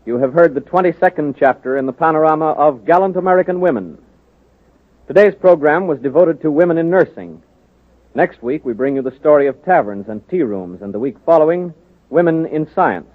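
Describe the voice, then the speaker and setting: A man's voice: on the high side, lively intonation, brisk, no room sound. Formal 1930s radio announcer man, tinny sound. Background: loud music.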